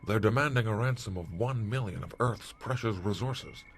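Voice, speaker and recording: A man playing a blunt, callous military commander with a husky voice and clipped manner in a retro sci-fi radio drama. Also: fairly low pitch, normal speed, a little hiss or hum, natural voice. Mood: urgent. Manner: clipped, military, matter-of-fact. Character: blunt, callous male space-force commander.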